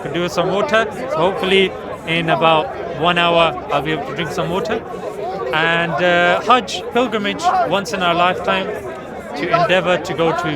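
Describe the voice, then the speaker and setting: Man, street debater, earnest and patient, slightly high voice, loud crowd around him outdoors. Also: moderate pace. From England. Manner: earnest, patient, explaining step by step. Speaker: street preacher debating visitors. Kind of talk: explanatory debate talk. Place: busy outdoor public park.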